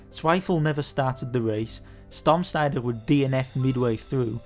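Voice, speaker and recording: A man narrating a scripted sports history documentary in a steady, measured way. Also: fairly high pitch. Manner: steady, measured storytelling delivery. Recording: voice-over with faint background music.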